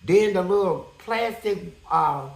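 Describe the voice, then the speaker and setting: A man with a high-pitched voice telling a prison story in a blunt, profane, animated way as an interview guest. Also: takes it slowly, creaky, reverberant, low-quality recording.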